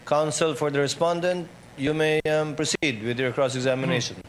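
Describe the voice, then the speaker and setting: A Filipino man with a somewhat high voice presides over a formal hearing. He gives procedural directions, and the recording has a faint layer of noise. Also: steady tone, fairly quick, poor audio. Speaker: presiding officer.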